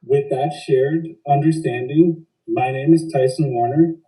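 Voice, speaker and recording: A man hosting a podcast, speaking in a measured, formal way. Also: medium pitch, fairly even intonation, warm tone, far from the mic, poor audio. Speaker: podcast host. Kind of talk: semi-scripted podcast hosting.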